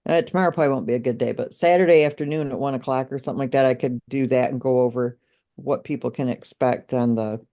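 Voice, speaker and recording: Woman, warm mellow voice, casual co-host, video call audio, fillers while searching. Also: rumbling low, steady tone, fast. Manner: searching aloud, with fillers and small laughs. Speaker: co-host presenting project numbers. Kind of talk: casual walkthrough of a shared screen.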